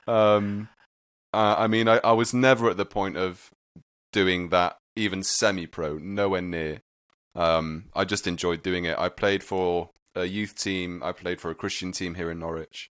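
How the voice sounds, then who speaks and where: A man's voice: low-pitched, fairly even intonation, unhurried, fairly bright tone, no room sound, clean studio sound. A young British man from Wales, a native Welsh speaker with a mixed British accent, chatting casually and self-deprecatingly as a podcast guest. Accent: mixed British, slightly London. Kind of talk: casual chat.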